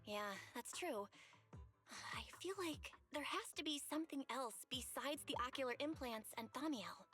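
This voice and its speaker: An actress playing an earnest, inquisitive young woman in a video game, with expressive delivery. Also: high-pitched, normal speed. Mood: puzzled, earnest. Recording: game music underneath.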